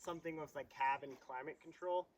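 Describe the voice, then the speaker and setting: A man's voice: a little high, brisk, dry recording. A man farmer in casual chat by his tractor outdoors, making dry joking remarks. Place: outdoors on a hay field by the tractor. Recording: engine noise behind.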